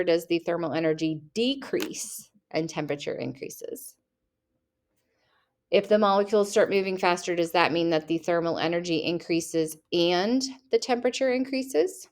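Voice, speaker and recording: A young woman teacher reading test questions aloud and rephrasing them playfully for her students, recorded at her computer. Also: fairly low pitch. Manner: reads each question, then rephrases it playfully.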